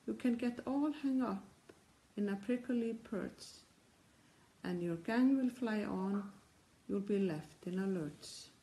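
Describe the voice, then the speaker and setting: A woman's voice: mid-range voice, takes it slowly, mellow, poor audio. A woman reading a rhyming picture book aloud. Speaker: volunteer reader.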